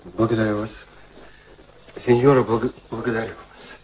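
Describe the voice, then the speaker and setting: An actor playing a humble, grateful, poor young violinist in a radio play, speaking haltingly and deferentially. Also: male voice, on the low side, fairly even intonation, darkish tone, natural voice. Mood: humble gratitude. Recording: distant, echoey, noisy background.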